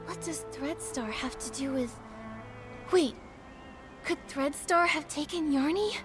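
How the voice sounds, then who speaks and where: A woman voicing an earnest, kind young heroine girl in video game dialogue, gentle and determined. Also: high-pitched, takes it slowly. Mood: curious. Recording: background music under the voice.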